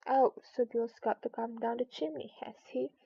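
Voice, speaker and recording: A woman's voice: fairly high pitch, steady tone, moderate pace, creaky, breathy edge. A woman voicing a curious, plucky little girl from a storybook, musing to herself. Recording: dull lo-fi recording.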